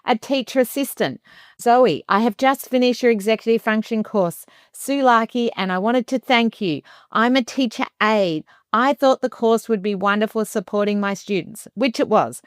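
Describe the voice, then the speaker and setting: A woman's voice: on the high side, animated, normal speed, clean tone. Conversational woman podcaster, emphatic teaching style. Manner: conversational, emphatic, with rhetorical questions. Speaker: podcast host and education presenter. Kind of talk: informal teaching monologue.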